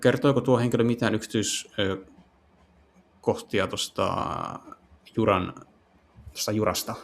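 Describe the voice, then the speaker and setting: A man translating game dialogue casually and haltingly, searching for words as he goes. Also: fairly low pitch, a little hiss or hum. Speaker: gaming video host and live translator.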